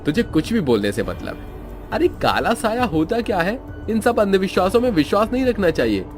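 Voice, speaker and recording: Man putting on a shrill, panicked young guy. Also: sing-song, a little fast. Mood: jittery. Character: bickering young men stranded after a car crash. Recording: background music under voice.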